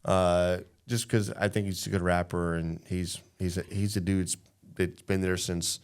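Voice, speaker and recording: A man's voice: sits low, level tone, measured pace, clear, resonant tone. A man co-hosting a casual podcast, giving opinions emphatically, repeating himself and often checking in with "right?". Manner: emphatic, repetitive, often tagging statements with "right?". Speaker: podcast co-host. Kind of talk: casual opinionated panel chat.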